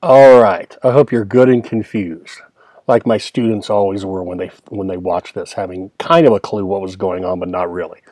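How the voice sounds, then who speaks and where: A man's voice: deep voice, steady tone, moderate pace, darkish tone, no echo. A man hosting a film analysis in a casual, enthusiastic, conversational way, talking like a teacher walking viewers through clips.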